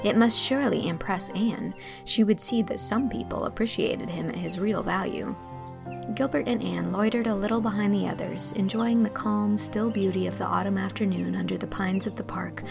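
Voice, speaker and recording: A woman's voice: medium pitch, moderate pace, vocal fry, music in the background. A woman reading scripted narration for a dramatized classic novel. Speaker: audiobook narrator.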